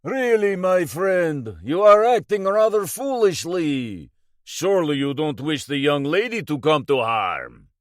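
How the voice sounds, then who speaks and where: A man's voice: a little high, very animated, unhurried, dry recording, clean studio sound, voice pushed up. A man voicing a patronizing Russian villain who speaks with mock politeness. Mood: smugly menacing. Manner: mockingly polite, coaxing.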